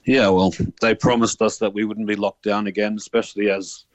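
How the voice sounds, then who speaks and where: A man's voice: on the low side, flat delivery, fairly quick, poor audio. A man with an Australian accent giving casual answers as a phone-in guest, matter-of-fact, hesitant and fed up. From born in Ireland, grew up in Australia.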